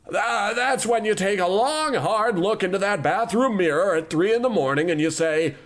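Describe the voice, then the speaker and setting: A man's voice: high voice, lively intonation, moderate pace, a bit bright. A man playing a pompous, flippant animation tycoon in a comedy radio play, smug and careless. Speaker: company boss character.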